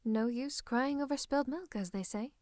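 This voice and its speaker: A woman playing a scheming royal advisor in a fantasy roleplay, speaking close to the listener in a coaxing, teasing, falsely comforting way with breathy asides. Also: sits high, normal speed, darkish tone, no room sound, close to an everyday voice. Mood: coaxing, patronising. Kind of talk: roleplay ASMR monologue to the listener. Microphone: close microphone.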